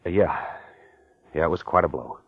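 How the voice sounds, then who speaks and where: An American actor playing a jealous, obsessive wife-killer in a confessional, insistent 1940s radio mystery drama. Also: male voice, low voice, moderate pace, breathy edge, no room sound. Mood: ardent, evasive. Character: jealous, obsessive man who murdered his wife, narrating his crime. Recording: dull vintage 1940s radio recording.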